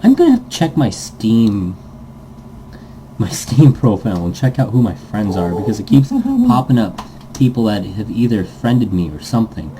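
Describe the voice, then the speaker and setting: A man's voice: fairly low pitch, wildly swooping pitch, normal speed, mellow, slight background noise, rough recording. A man doing a casual gaming playthrough, reading game text aloud and rambling off on tangents. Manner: rambling, easily sidetracked. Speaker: let's player reading a visual novel aloud.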